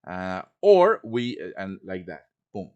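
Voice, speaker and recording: A man's voice: deep voice, very animated, slow pace, darkish tone, clean tone. A man with a chatty manner hosting a casual live tutorial, often checking in with his listeners as he teaches. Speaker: host teaching game quest design. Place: live stream over online voice chat.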